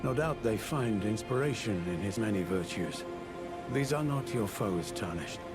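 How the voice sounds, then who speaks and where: Actor as a low, reverent fantasy disciple, music behind. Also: male voice, lively intonation, moderate pace, natural voice. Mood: kindly, courteous. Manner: formal, archaic, reflective phrasing. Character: courteous, reverent male follower of a demigod. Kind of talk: video game dialogue, fantasy drama. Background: loud music.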